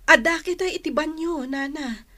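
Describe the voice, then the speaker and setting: An actress playing a concerned young daughter in an old radio drama. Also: female voice, sits high, normal speed, pushed and bright. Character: concerned young daughter talking to her mother. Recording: slightly noisy old radio recording.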